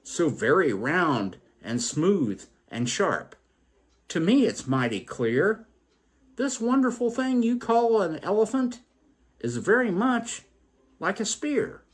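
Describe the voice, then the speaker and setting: A man acts out a cocksure blind man from a storybook fable, grandly declaring his certainty. The delivery is pompous and drawn out, leaping high in pitch, with a husky edge. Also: very animated, slow pace, exaggerated voice. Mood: awed certainty. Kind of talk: theatrical poem recital.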